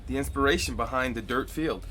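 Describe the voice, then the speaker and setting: A man's voice: medium pitch, brisk, sharp tone. A man hosting a live-streamed author interview, friendly and encouraging, with frequent short backchannel replies. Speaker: interviewer, host.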